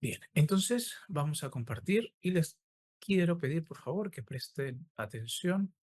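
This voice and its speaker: A man's voice: on the high side, sing-song, unhurried, a little mellow. Hesitant man lecturing with a sore throat. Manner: hesitant, many filler sounds. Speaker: law lecturer. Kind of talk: online lecture. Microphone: video call.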